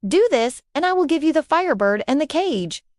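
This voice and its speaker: A woman's voice: sits high, big pitch swings, a little fast, a little mellow, dry recording, clean studio sound, pitched above the performer's own voice. A woman voicing a stern, scornful male Tsar in a fairy tale. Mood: commanding.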